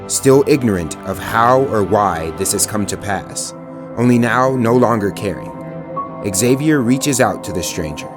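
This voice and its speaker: Low slow guy narrating a drama, really grave, music going behind him. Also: lively intonation. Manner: grave, portentous.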